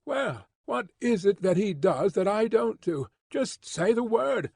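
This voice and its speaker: A man's voice: high voice, animated, measured pace, gravelly, a little mellow, slightly rough, dry recording, voice pushed up, full character voice. A man reading an audiobook, voicing an impulsive young suitor who talks in a pleading, eager way.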